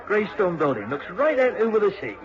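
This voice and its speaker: An actor playing a friendly, obliging innkeeper with a Cornish accent and a folksy, chatty way of talking in a radio drama. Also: male voice, high-pitched, sing-song, brisk. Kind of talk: mid-century radio drama acting. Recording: dull old radio recording.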